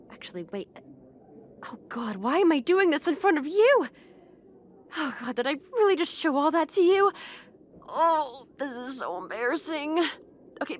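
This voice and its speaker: A haughty, popular high school girl played by a young woman with a fairly high voice. She is proud and bossy, with flustered hesitations slipping through. It is an intimate roleplay audio drama spoken to the listener, with noise behind the voice. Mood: hushed, shaken, then embarrassed, defensive.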